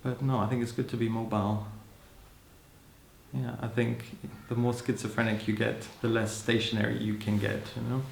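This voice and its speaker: A man in his thirties who grew up in Hong Kong, speaking as an interviewee in a thoughtful, musing way, often adding "you know" and soft laughs. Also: mid-range voice, little pitch movement, normal speed, gravelly, slight background noise. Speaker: interviewee, social anthropologist. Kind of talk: reflective personal account. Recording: roomy echo.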